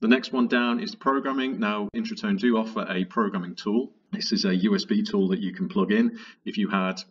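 A man narrating a software tutorial in a methodical, explanatory, informal way. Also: on the low side, steady tone, a little fast, slightly rough, recorded from a distance, muffled recording. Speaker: product tutorial presenter. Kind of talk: software walkthrough narration, conversational.

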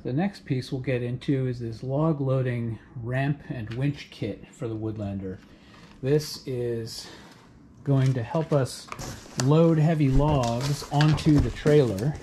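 A man talks through an unboxing in a garage, relaxed and explanatory, thinking aloud with the odd filler. His voice sits at an ordinary middle pitch. Steady background noise runs under the handheld camera recording. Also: takes it slowly. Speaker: homesteader unboxing equipment. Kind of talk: casual unboxing narration.

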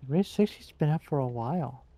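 Mellow, teasing co-streamer. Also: mid-range voice, expressive, normal speed. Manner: teasing, playful. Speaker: co-streamer guest. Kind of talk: casual chat.

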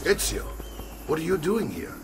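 An actor playing a commanding middle-aged Italian uncle and mercenary captain, theatrical, with an Italian accent, in a video game. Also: male voice, a little high, wildly swooping pitch, moderate pace, distant mic, noisy background. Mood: grave, strategic. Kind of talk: dramatic video game voice acting.

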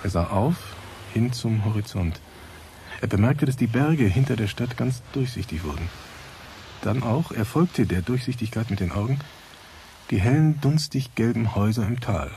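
Philosophical narrator, radio drama, brooding, emphatic, lively pitch, faint noise behind. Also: male voice, moderate pace. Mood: solemn, intense. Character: brooding philosophical male narrator questioning reality.

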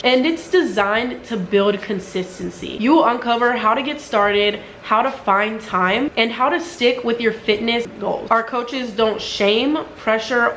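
A woman's voice: fairly high pitch, echoey room, slight background noise. A woman in her twenties vlogging at home, talking casually to a camera a few feet away, a little congested. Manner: chatty, upbeat, frequent "like" fillers. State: slightly congested. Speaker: lifestyle vlogger. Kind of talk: casual vlog chat and read sponsor spot. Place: at home, apartment kitchen and room. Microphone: camera at a distance.

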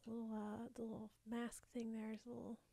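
A woman's voice: fairly high pitch, completely flat delivery, quick pace, creaky. A woman co-hosting a gaming channel, reacting casually and expressively, with free swearing. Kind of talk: casual reactive let's-play chat. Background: game music.

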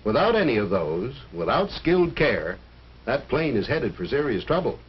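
A man's voice: mid-range voice, sing-song, moderate pace, breathy edge. A man playing an earnest, fatherly coach and biology teacher who lectures in 1950s educational film style. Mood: earnest advising. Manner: didactic, earnest, explanatory. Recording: muffled, noisy old educational film soundtrack.

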